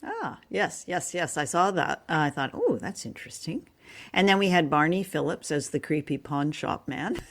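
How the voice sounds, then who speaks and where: A woman's voice: low voice, very animated, normal speed. A woman co-hosting a podcast, conversationally reviewing radio drama in slightly tentative phrasing. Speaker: podcast co-host.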